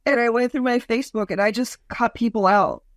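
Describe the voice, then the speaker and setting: A woman in her forties with a deep voice, a comedian guest telling stories in a lively, mocking way. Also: a little fast, no room sound, studio quality. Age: 40s. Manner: lively, storytelling, mocking asides. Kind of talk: casual interview chat.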